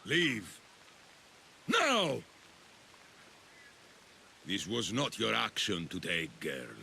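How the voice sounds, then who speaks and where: An actor playing a commanding, cold cult master in a video game, with an imperious, condescending manner and a gravelly voice. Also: male voice, fairly low pitch, wildly swooping pitch, extremely slow, sharp tone, slight background noise, full character voice. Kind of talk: dramatic video game voice acting.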